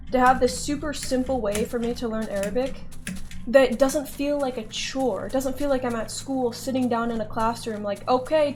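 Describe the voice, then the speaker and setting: A woman vlogger talking casually and candidly while playing a game, rambling and joking at her own expense. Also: high-pitched. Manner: chatty, candid, self-deprecating. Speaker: gaming vlogger.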